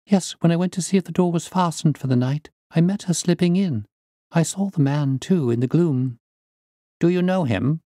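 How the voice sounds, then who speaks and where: A man's voice: fairly high pitch, moderate pace, dark tone, no room sound, studio quality, voice pushed up, lightly acted. A man voicing an earnest, polite young English lady in an audiobook, imploring on behalf of her cousin. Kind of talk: audiobook character reading.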